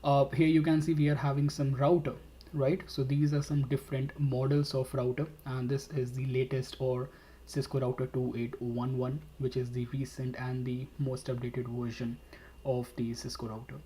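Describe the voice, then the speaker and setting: Male instructor for an online lesson: even, low-key delivery, soft mellow tone, light background hiss. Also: normal speed. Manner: steady explanatory talk with frequent fillers and "all right". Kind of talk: webinar tutorial with screen demo.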